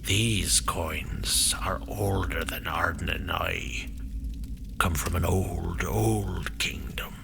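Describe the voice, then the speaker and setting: A man playing a weathered, grave and wary old adventurer in a fantasy audio drama, with a gravelly voice and halting, archaic phrasing. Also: deep voice, fairly even intonation, background noise, heavily acted. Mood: hushed, grave.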